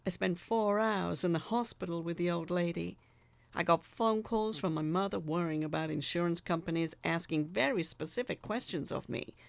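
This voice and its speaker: A woman's voice: lowish voice, normal speed, creaky, no echo. A woman reading a first-person story as a dramatised narration.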